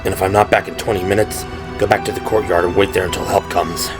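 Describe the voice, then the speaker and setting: A man voicing a steady, protective young officer in an audio drama, firm but reassuring, with music behind. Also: quick pace. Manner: low-key, firm, reassuring. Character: protective, steady young male police officer giving orders.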